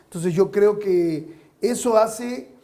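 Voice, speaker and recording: A man's voice: sits high, slow, dark tone. A man hosting a talk show, chatting casually and often tagging his points with questions. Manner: questioning, asks rhetorical tag questions.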